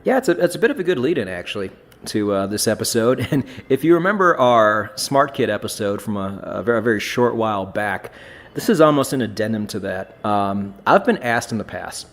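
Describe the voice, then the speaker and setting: A man hosts a podcast in a casual, blunt way, leaning hard on his points and swearing without a second thought. His voice sits a little on the low side. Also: expressive, a little fast. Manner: blunt, profane, emphatic. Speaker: podcast host.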